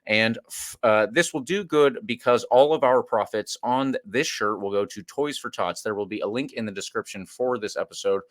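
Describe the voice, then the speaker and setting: Man, podcast host, lowish voice, dry close sound, relaxed chatty delivery. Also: normal speed, no room sound. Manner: casual, promotes charity shirt before interview. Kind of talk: podcast interview.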